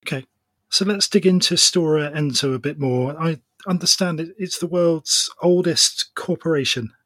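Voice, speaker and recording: Husky-voiced male podcast host. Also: a little high, normal speed, a bit bright, no echo. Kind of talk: interview hosting.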